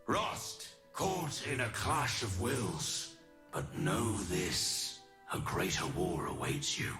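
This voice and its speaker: An actor playing a noble, solemn winged immortal warrior in a video game, grave, formal and deliberate. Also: male voice, low voice, huge pitch swings, bright, forceful tone, soft background music, exaggerated voice. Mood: stern warning. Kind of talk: scripted dramatic game voice lines.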